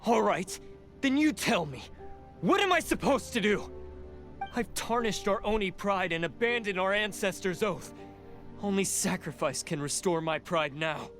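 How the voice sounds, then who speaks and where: A man's voice: high voice, expressive, moderate pace, a bit bright, soft background music. An actor playing a proud, desperate young male oni warrior in a game, speaking intensely and defiantly. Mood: bitter. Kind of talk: game cutscene voice acting.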